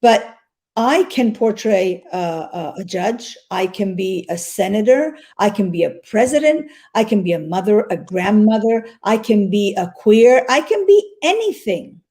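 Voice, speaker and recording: A woman's voice: medium pitch, expressive, unhurried, warm tone. A woman from North Africa, an actress, speaking earnestly in a casual interview and often repeating words as she finds them.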